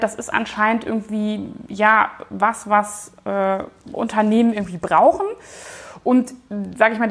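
Female, thirties, mid-pitched; casual interviewee who hedges and thinks out loud. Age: 30s. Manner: informal, hedging, thinking aloud. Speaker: company founder and managing director, interview guest. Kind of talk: casual interview answers, looking back.

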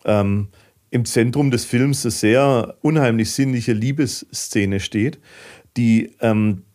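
A man's voice: medium pitch, slow pace. Swabian-accented man telling stories casually. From southern Germany. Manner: fidgety, animated storyteller with dialect. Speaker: podcast guest, filmmaker. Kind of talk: casual conversational storytelling.

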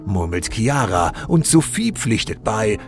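A man's voice: lowish voice, sing-song, measured pace. A man narrating a scripted story with wry, literary phrasing. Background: music.

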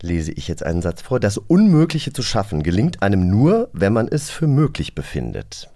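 A man's voice: fairly low pitch, wildly swooping pitch, normal speed, warm tone. A man hosting a casual radio talk show and quiz in a studio, chatting informally. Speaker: host.

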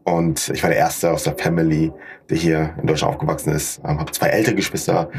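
A man's voice: extremely low pitch, level tone, a little fast, a little hiss or hum. A young man from Germany answering conversationally as a podcast guest, dropping English business words into his speech. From Paderborn, Germany. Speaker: podcast guest, startup founder.

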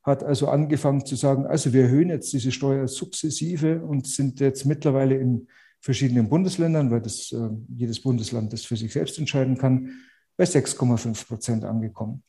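A man's voice: medium pitch, steady tone, fairly quick, mellow. A man with a Bavarian accent, a real estate agent guest on a podcast who speaks outspokenly but with many hesitant restarts. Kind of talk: podcast interview.

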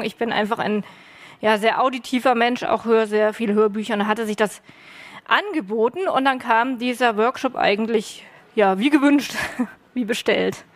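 A woman novelist who took part in a workshop, speaking openly and eagerly on a panel. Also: on the high side. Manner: open, eager, laughs easily. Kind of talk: panel discussion answer.

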